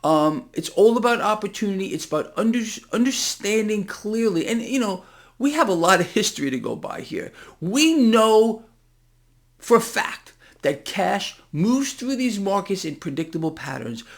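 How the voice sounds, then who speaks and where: High-pitched, emphatic man giving market commentary. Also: lively intonation, normal speed. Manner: emphatic, incredulous, conspiratorial, addresses listeners directly. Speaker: host of a financial market commentary channel. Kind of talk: impassioned unscripted market commentary to viewers.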